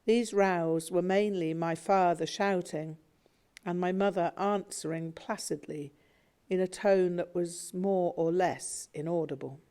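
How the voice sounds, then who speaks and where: A woman narrating a memoir audiobook in a measured, reflective way. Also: on the low side, fairly even intonation, mellow.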